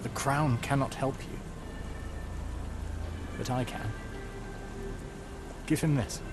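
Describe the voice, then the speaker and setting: An actor playing an aloof, authoritative Victorian gentleman in a video game, British, with clipped, formal, commanding speech. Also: mid-range voice, steady tone, slow pace, over music, lightly acted. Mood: cool, guarded. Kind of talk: video game cutscene acting. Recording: clear background ambient noise.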